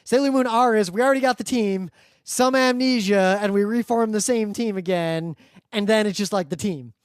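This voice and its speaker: A man's voice: high voice, moderate pace, clear, resonant tone. A man in the role of podcast co-host, talking casually and geekily. He rambles through explanations with enthusiasm and the odd swear word. The sound is dry, with no room echo. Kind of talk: casual geeky chat.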